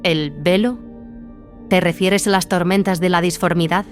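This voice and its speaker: A woman's voice: on the low side, fairly even intonation, moderate pace, soft background music, natural voice. A woman playing a wary, commanding warrior nun in a science fiction audio drama, serious and guarded. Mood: wary, challenging. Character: wary, commanding female battle sister squad leader.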